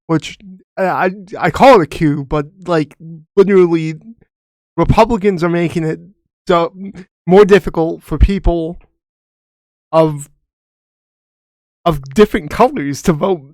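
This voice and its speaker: Young male co-host, earnest and halting, slightly high voice, dry close sound. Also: darkish tone, no echo. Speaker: podcast co-host. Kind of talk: casual chat.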